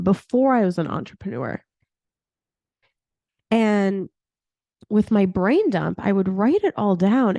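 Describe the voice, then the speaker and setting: A woman in her thirties talks straight into a close mic in a dry, deadened room, at a middle pitch. She chats casually as a podcast host, sprinkling in "like" and breaking into laughter. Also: dark tone, no echo. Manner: chatty, laughs often, fillers like "like". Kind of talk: casual solo podcast talk.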